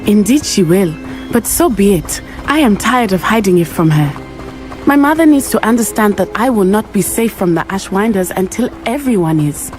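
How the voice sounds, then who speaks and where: An actress plays an earnest, determined teenage witch with a Southern African accent. Her delivery is formal and measured, in a mid-range voice. It sounds like a dramatic game cutscene with soft music behind. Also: medium pitch, lively intonation, lightly acted. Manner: formal, measured, resolute wording. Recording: music under the voice.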